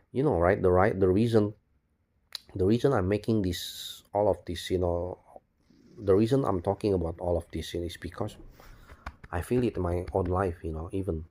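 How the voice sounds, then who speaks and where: Male vlogger, non-native English speaker, deep relaxed voice, unscripted rambling with frequent repeats and restarts. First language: not English. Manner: repetitive, halting, restarts words and phrases often. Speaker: video blogger. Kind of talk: spontaneous rambling monologue.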